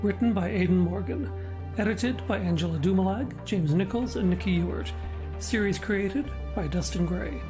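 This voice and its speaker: Woman announcer reading show credits, scripted, medium pitch, music playing behind. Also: normal speed, low-quality recording.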